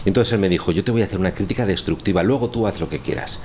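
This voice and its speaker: A man's voice: low voice, racing, a little mellow. A man from Spain with a Spanish accent, chatting casually as a scriptwriter and teacher in a wry, rambling way. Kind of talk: casual chat on a radio show. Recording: clearly noisy room recording.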